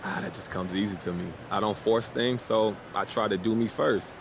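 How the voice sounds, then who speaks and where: An actor playing a cocky young American basketball player in a video game, talking in a breezy, slangy way. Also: male voice, fairly low pitch, animated, normal speed, vocal fry, noisy background. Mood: self-assured. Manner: self-assured, breezy, slangy. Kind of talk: naturalistic game cutscene dialogue.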